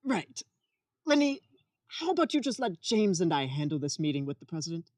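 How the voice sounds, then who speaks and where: A woman acts a fussy male architect in a radio sketch, formal and eager to please but easily flustered. The delivery swings widely in pitch, and the sound is close and dry. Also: sing-song, fairly quick, darkish tone, no room sound. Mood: bossy, composed. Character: fussy, nervous gentleman architect, head of his firm. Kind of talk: old-time radio sketch comedy.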